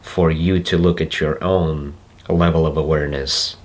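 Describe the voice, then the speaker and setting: A man with a Russian accent, a native Russian speaker, talking slowly and reflectively to camera and pausing to search for words. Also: rumbling low, steady tone. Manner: slow, deliberate, searching for words with pauses. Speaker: self-development video host. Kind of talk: slow reflective monologue to camera.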